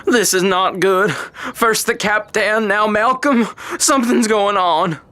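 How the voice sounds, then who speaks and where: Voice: mid-range voice, a little slow, bright, forceful tone, no room sound. Anxious fantasy father of a grown daughter as a performer plays him, audio drama, very dry recording. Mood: grim, urgent. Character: worried father of a grown sorceress.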